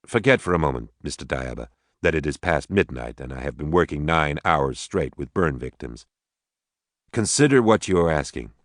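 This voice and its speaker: Man voicing a weary, brusque surgeon, low-pitched. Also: expressive, moderate pace, dry recording, clean studio sound. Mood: exasperated. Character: weary, exasperated male surgeon, reluctant but commanding.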